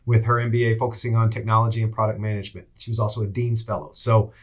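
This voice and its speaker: A man's voice: lowish voice, level tone, quick pace. A man hosting an interview, introducing his guest and asking questions, with some hesitant restarts. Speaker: podcast host.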